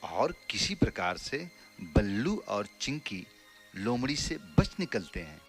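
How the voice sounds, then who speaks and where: A man's voice: lowish voice, big pitch swings, a little slow, faint music behind. A man narrating a scripted children's story.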